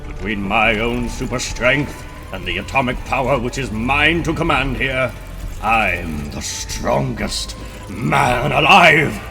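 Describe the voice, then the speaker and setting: A man's voice: mid-range voice, animated, slow pace, creaky, over music. A man playing a boastful, menacing mad-scientist supervillain in a dramatic comic book reading. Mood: triumphant. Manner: grandiose, menacing, theatrical. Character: boastful megalomaniac supervillain scientist with mechanical arms.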